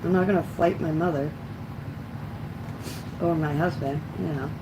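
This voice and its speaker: Casting: middle-aged female livestreamer, low register, halting casual delivery, clear background noise. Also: fairly even intonation. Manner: halting, reminiscing, frequent throat clearing. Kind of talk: casual rambling chat while coloring.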